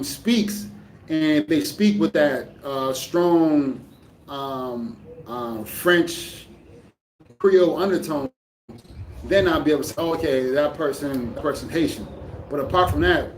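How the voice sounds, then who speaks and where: A man talks casually to his camera, rambling and restarting his sentences as he works out his thoughts. His voice sits a little high for a man and comes from some distance away, in a room that echoes, with a faint hum of ambience behind him. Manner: rambling, restarts often, thinking aloud. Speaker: vlogger. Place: living in the Dominican Republic.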